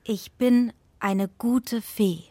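A woman's voice: on the high side, steady tone, drawn-out words, warm tone, no echo. An actress in a children's radio play playing a good fairy who poses as a poor old woman, speaking persuasively. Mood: earnest, insistent.

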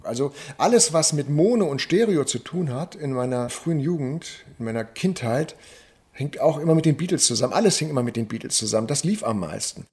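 A man's voice: medium pitch, animated, fairly quick, a little mellow, faint music behind. A man telling personal memories in an interview, anecdotally, correcting himself as he goes. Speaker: theatre dramaturg, interviewee.

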